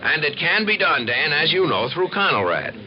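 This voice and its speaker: A man's voice: on the high side, sing-song, normal speed. An American man playing an earnest, assured civil defense expert who explains and narrates in a 1950s radio documentary. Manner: explanatory, folksy, emphatic. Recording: dull old radio recording with music.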